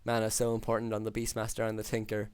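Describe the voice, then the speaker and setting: A man calling a strategy game match alone, talking through plays with many ums, his voice hoarse after hours of casting. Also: lowish voice, very fast, no echo. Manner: steady, filler-heavy analytical play-by-play. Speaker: game caster. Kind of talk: solo esports play-by-play commentary.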